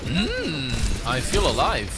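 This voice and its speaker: Lively-pitched man streaming over loud music. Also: slow. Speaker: gaming streamer. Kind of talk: casual live gameplay commentary. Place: small indoor streaming room. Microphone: desk microphone close to the mouth. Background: loud game music and effects.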